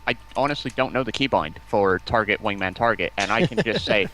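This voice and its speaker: Low-voiced man, halting delivery. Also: sharp tone, low-quality recording. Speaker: guest, community manager. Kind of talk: casual livestream interview. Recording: faint background noise.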